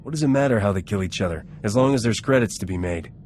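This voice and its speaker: Actor as a cynical low-voiced smuggler captain. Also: male voice, animated, fast, darkish tone, soft background music, natural voice. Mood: dismissive. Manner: sarcastic, blunt. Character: cynical, mercenary smuggler captain, wry and unsentimental. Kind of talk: video game voice acting.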